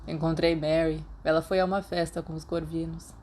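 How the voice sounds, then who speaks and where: A woman with a Brazilian Portuguese accent voicing a shy, anxious teenage boy who speaks quietly. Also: low voice, flat delivery, brisk, slight background noise, lightly acted. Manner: quiet, hesitant.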